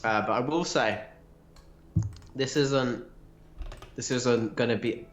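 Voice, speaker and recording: A man co-hosting a casual livestream, answering viewer questions with animated, laughing asides. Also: medium pitch, slow, roomy sound, slight background noise.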